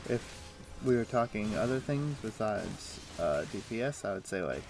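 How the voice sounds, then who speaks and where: Streamer, male, low and gravelly, easygoing gamer chatter, game music underneath. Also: moderate pace, poor audio. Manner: relaxed, thinks aloud with many fillers. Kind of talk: casual chat while gaming.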